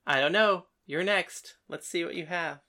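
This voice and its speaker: A woman's voice: on the low side, moderate pace, sharp tone. A woman voicing a confident, bossy young woman at a poker table in an audiobook story, cool and teasing as she instructs the others. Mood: smug, instructing.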